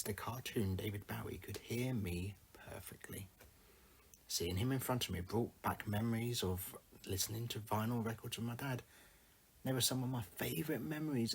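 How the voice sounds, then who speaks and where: A man with a husky voice reading his own first-person short story aloud in a single take. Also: fairly low pitch.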